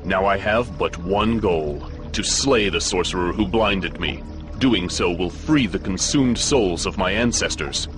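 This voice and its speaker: A man's voice: deep voice, unhurried, over music. A male actor playing a grim, proud, vengeful blind swordsman in a fighting game, grave and formal. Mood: resolute. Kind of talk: fighting game cutscene acting.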